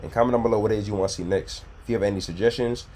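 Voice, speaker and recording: Deep-voiced blunt man reacting to clips. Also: fairly even intonation, rapid-fire, darkish tone, slight background noise. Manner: blunt, profane, preachy advice-giving. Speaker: reaction video host. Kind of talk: casual reaction commentary.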